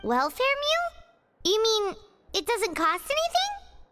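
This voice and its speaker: A woman voicing a small, childlike fairy companion in a video game, chirpy, wary and excitable. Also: extremely high pitch, slow pace, loud music behind the voice, heavily acted. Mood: doubtful, surprised. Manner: excitable, exaggerated, expressive.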